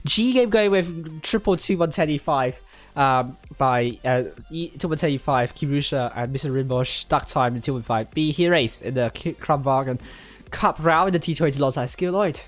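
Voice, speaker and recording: An esports caster calling a live match, hoarse and losing their voice, getting excited and shouting during fights. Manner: excitable, rising to high exclamations during fights; rapid name-listing with frequent fillers and restarts. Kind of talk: live play-by-play commentary.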